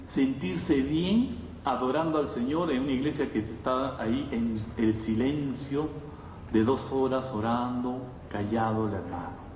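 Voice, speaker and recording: A man preaching a conversational sermon to a congregation, teaching with rhetorical questions and checking in with his listeners. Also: on the high side. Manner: didactic, with rhetorical questions and frequent check-in tags. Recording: echoey hall, distant mic, faint noise.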